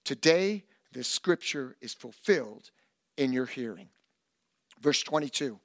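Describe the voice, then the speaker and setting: A middle-aged man preaching a sermon as pastor, emphatic and animated, with self-deprecating humor. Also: fairly high pitch, no echo, studio quality.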